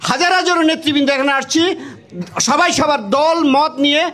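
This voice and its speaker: A man's voice: very high-pitched, fairly even intonation, brisk, pushed and bright. A man with a Bangladeshi accent addressing party leaders in a political speech at a meeting.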